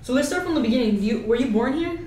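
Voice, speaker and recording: A woman's voice: sits high, expressive, quick pace, recorded from a distance, crowd noise. A woman co-hosting a podcast, putting short questions to the guests and backing them with "mm-hmm". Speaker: podcast co-host. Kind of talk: casual podcast interview. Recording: echoey room, away from the mic.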